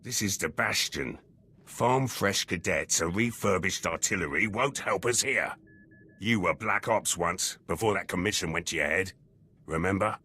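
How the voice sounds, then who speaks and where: Gruff, husky, deep-voiced soldier. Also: male voice, normal speed, pushed and bright, heavily acted. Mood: cynical, pressing. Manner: terse, clipped phrases that drop words. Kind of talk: video game character dialogue.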